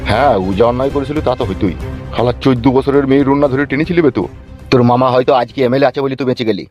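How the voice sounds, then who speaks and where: A man's voice: mid-range voice, very fast, a little mellow, dull audio, soft background music, lightly acted. A man voicing a stern police officer who scolds and lectures in a cartoon dub. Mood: scolding. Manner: scolding, bossy.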